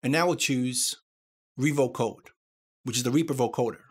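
A man presenting a software tutorial, explaining things step by step. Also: mid-range voice, normal speed, breathy edge.

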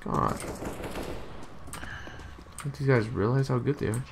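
A young man streaming a shooter game, chatting and trash-talking loudly with a friend. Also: medium pitch, unhurried, creaky. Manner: loud, excitable trash talk. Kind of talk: casual gaming banter.

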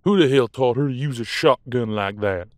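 A man playing a vengeful, stubborn werewolf captor in an audio roleplay, gruff, contemptuous and foul-mouthed. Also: medium pitch, animated, moderate pace, darkish tone, no room sound, studio quality, natural voice. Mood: suspicious, irritated.

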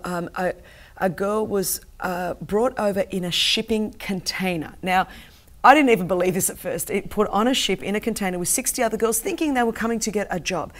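A middle-aged woman whose first language is Greek, telling an intense story as a guest on a studio talk show, gesturing emphatically. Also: mid-range voice. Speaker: guest, anti-trafficking campaigner. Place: TV studio set with sofas.

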